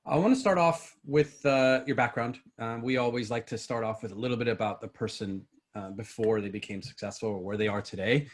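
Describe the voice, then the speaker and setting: A man's voice: mid-range voice, a little fast, hardly any pauses. A man hosting a podcast, asking loose conversational questions with many fillers. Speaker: podcast host. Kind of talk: podcast interview.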